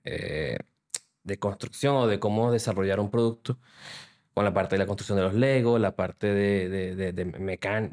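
A man's voice: lowish voice, darkish tone. A man in his thirties with a Venezuelan accent, a podcast guest explaining his work at length in a studio interview. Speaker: guest, software developer.

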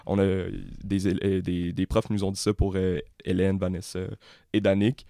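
A young man with a Québécois accent hosts a casual podcast, friendly and halting, often restarting his sentences. His voice is on the low side. The recording is dry and close-miked. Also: flat delivery, mellow, no room sound. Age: young adult. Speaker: podcast host, music student.

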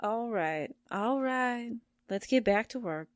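A woman's voice: on the high side, animated, takes it slowly, no echo, studio quality. A woman acting a sardonic, wisecracking sidekick to a reporter in a 1940s-style radio drama. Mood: puzzled, cooperative. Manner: wry, wisecracking.

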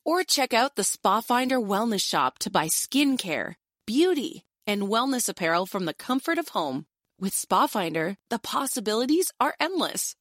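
A woman's voice: a little high, dry recording, clean studio sound. An American woman giving a scripted advertisement read as an announcer.

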